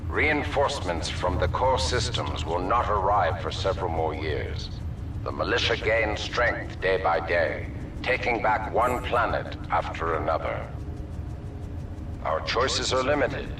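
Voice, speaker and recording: An actor playing a cold, ruthless military leader in a video game, delivering a measured, pompous speech with emphatic pauses. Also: male voice, low-pitched, lively intonation, background noise. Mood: grave, emphatic. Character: cold, ruthless male military-corporate leader, grandiose villain. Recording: echoey hall, distant mic, music behind.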